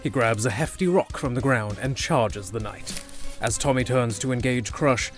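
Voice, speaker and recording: Male narrator, fantasy audio drama. Mid-range pitch, descriptive storytelling, faint music bed. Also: moderate pace.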